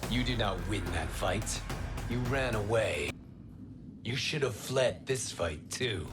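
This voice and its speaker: An actor playing a noble, solemn wind god warrior in a video game, with measured, formal delivery. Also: male voice, on the low side, lively intonation, over loud music. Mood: defiant. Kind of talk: dramatic video game acting.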